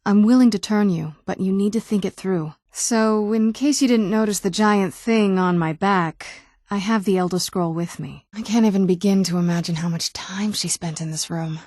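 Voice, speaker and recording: Woman voicing a young sounding ancient vampire lady from a video game, soft mellow tone, wry and guarded, really dry clean sound. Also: mid-range voice, moderate pace, hardly any pauses, no room sound, close to an everyday voice. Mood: wry, matter-of-fact. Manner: wry, conversational, understated. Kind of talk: video game character dialogue.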